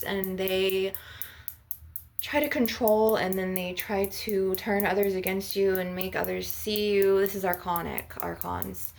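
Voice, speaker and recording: Blunt ASMR healer woman with creaky voice. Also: medium pitch. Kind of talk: ASMR spiritual session, informal rambling talk.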